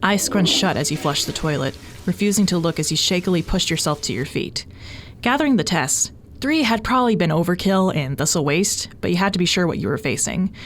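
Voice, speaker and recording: A woman narrating fan fiction as an expressive scripted audio story. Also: on the low side, fairly quick, slight background noise. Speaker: podfic narrator. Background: faint music.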